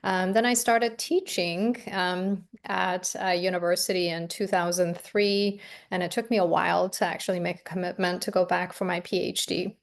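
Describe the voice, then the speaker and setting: Woman, 50s, Farsi first language, soft-spoken faculty panelist on a video call. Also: on the low side, flat delivery, normal speed, hardly any pauses. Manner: frequent um fillers, soft-spoken. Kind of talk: casual panel introduction.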